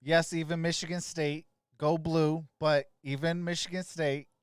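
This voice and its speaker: High-pitched man hosting a casual sports stream. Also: little pitch movement, unhurried, clean tone, dry recording, clean studio sound. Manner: informal, friendly, greets viewers by name. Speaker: sports livestream host doing play-by-play. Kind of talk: casual live stream chat and game commentary.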